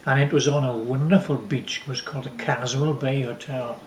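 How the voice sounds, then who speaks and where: A man's voice: medium pitch, fairly even intonation, a little mellow. Older man reminiscing in an echoey room. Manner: halting, repeats words as he recalls. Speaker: father telling family stories. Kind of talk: casual storytelling, reminiscing. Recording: roomy, echoey home recording.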